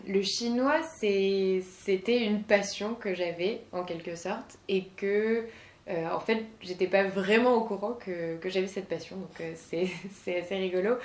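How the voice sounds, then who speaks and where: A woman's voice: medium pitch, darkish tone, roomy sound. A woman in her twenties from southern France, answering interview questions as a guest with many hesitations. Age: 20s.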